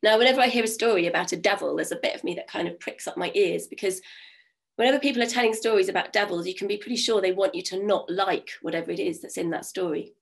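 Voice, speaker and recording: A woman in her fifties with a croaky voice from a cold, telling folk stories in a chatty way on a video call from home. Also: mid-range voice, quick pace. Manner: warm, chatty, with many fillers. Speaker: storyteller. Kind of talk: oral storytelling. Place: home room. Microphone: video call webcam.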